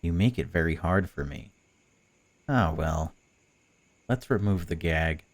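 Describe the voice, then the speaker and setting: A man's voice: sits low, a little slow. A man voicing a female stalker in a visual novel, a chatty, patronising captor who pretends to care. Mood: breezy, patronising. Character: cold, mocking female stalker, falsely caring captor. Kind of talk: visual novel dialogue read aloud.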